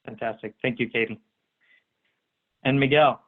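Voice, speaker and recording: A man's voice: medium pitch, steady tone. Man moderating over a tinny line. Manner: hesitant, pausing often between phrases. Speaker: panel moderator. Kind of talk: webinar moderating. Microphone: remote call audio.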